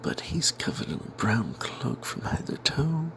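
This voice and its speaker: Guy doing whispery asmr fantasy storytelling, breathy n creaky, really slow, secretive, kinda wry and rambly. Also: mid-range voice, big pitch swings. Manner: hushed and secretive, as if not to be overheard. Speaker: storyteller narrating a tabletop game tale.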